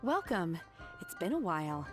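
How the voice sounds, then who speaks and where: A woman voicing a calm, reserved young female disciple in a video game, speaking in a formal, matter-of-fact way. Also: on the low side, moderate pace, music in the background. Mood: composed.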